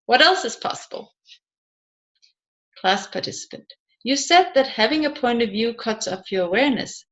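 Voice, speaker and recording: A woman with a somewhat high voice narrates a nonfiction audiobook. She reads scripted questions and answers aloud. Her delivery is measured. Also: animated. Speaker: audiobook reader.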